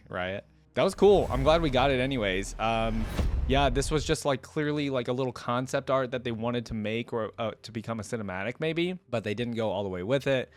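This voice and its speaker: A man's voice: medium pitch, dry recording. A man giving casual, slangy reaction commentary as the host of a video. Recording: loud music behind the voice. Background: game trailer and theme music.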